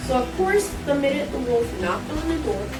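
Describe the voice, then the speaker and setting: A woman's voice: high voice, sing-song, normal speed. A woman telling a story as a narrator in an amateur stage reading. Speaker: narrator in a reader's theater. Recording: echoey room, far mic, loud music behind.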